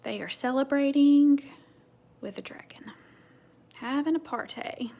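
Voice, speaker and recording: A woman reading a story aloud to children, teacherly, asking questions and dropping into hushed asides. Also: high-pitched, a little slow. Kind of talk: animated read-aloud with asides to young listeners.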